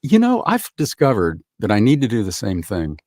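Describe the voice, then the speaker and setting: Male voiceover guest, very lively pitch, dry deadpan wit, dead-dry room. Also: moderate pace, no room sound. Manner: dry, wry humor. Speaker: voice actor guest. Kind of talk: casual chat.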